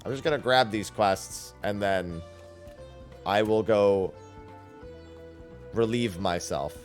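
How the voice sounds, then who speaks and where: A man's voice: fairly low pitch, slow pace, soft background music. A man giving casual, blunt playthrough commentary as a video game streamer.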